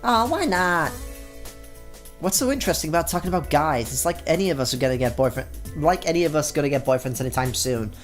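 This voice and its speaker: A man's voice: a little high, animated, fast talker, faint music behind, natural voice. A man doing a brash, cocky teenage schoolgirl. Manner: loud, cocky and teasing. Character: brash spicy-food-loving teenage schoolgirl.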